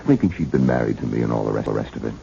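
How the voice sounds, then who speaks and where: An actor playing a suave, secretly conspiring fiancé in a 1970s radio drama, polished and theatrical with an uneasy edge. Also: male voice, very deep voice, lively intonation, very fast, creaky, warm tone, exaggerated voice. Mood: smooth, concerned. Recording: muffled, noisy old radio broadcast.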